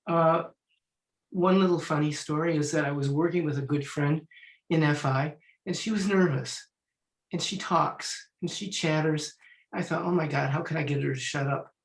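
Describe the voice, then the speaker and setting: A man in his sixties, a Feldenkrais teacher and musician, chatting in an online panel, telling stories and clearing his throat now and then. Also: on the high side, steady tone, moderate pace, roomy sound. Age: 60s. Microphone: video call.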